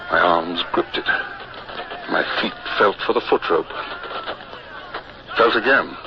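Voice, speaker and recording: An actor playing a young British naval officer who recounts his fears in grave, measured, earnest narration for a radio drama. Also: low-pitched, crowd noise. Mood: tense, dread. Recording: muffled, noisy old radio recording.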